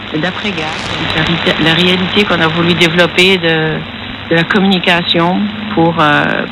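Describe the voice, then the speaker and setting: A man from eastern Canada talking reflectively and hesitantly, as if in an interview. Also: on the high side. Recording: clear background noise.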